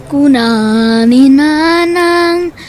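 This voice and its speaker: High-pitched, earnest young boy. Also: very slow, a little mellow, faint music behind. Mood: tender singing. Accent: Ilocano. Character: dutiful, poor young boy who sings and gathers trash. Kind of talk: Ilocano audio drama acting.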